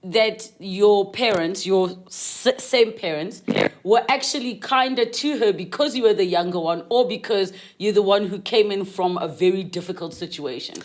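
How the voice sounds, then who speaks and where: A woman's voice: medium pitch, moderate pace. A woman from South Africa interviewing guests, asking probing, empathetic questions in a casual conversation.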